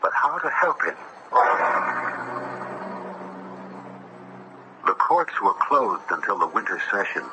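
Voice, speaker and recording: An actor playing an earnest, compassionate young judge who tells a ghostly tale in formal, solemn radio drama style. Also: male voice, fairly low pitch, sing-song, normal speed. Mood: somber, troubled. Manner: formal, literary, solemn storytelling. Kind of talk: 1970s radio drama narration and acting. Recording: noisy vintage radio broadcast recording.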